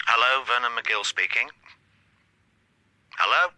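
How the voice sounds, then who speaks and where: Puzzled husband on a noisy phone line. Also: male voice, mid-range voice, slow pace. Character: middle-aged husband answering the telephone, puzzled. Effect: telephone filter. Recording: noisy, clicking telephone line.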